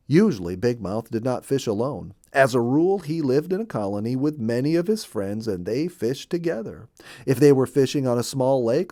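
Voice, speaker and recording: A man reading a children's story in an expressive, deliberate storytelling manner. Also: mid-range voice, no echo, studio quality. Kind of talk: scripted storybook narration.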